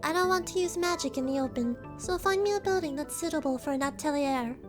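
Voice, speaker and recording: Woman voicing a very high-voiced, haughty girl. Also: fast, over music, natural voice. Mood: cool, matter-of-fact. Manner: curt, dismissive, deadpan. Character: haughty, disdainful young yokai girl. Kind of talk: radio drama acting.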